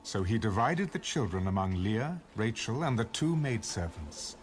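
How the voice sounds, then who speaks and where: A man narrating dramatized scripture with measured storytelling. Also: lowish voice, big pitch swings, a little hiss or hum. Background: music.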